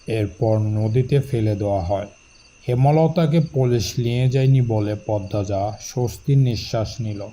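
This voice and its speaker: A man's voice: fairly low pitch, a little slow, warm tone, a little hiss or hum, low-quality recording. A man reading scripted story narration.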